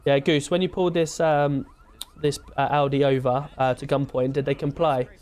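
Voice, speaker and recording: A British man playing a police officer in a game roleplay, asking questions and giving brisk orders over the radio. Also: mid-range voice, little pitch movement, a little mellow.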